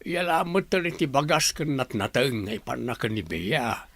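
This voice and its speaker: A man playing an ailing, weary village father in a radio drama, with a gravelly, husky voice and an Ilocano accent. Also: medium pitch, animated, normal speed, a bit bright, no echo. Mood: resigned.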